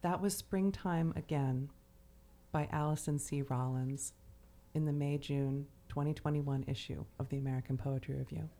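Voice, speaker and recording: A woman with a very deep, mellow voice hosts a podcast conversation about literature. She talks thoughtfully and hesitantly, often searching for the next word. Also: steady tone, normal speed. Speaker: podcast host.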